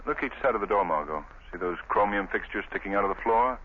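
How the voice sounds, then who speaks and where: An actor playing a calm, thoughtful gentleman detective, speaking in a measured, explanatory way in a 1930s radio drama. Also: lowish voice. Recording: tinny old radio broadcast, faint hiss.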